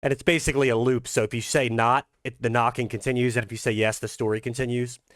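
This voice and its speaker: A man's voice: mid-range voice, little pitch movement, fairly bright tone, no echo. Male indie creator, informal and hesitant, recorded dry. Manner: hesitant, halting. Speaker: fan game creator. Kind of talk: casual commentary.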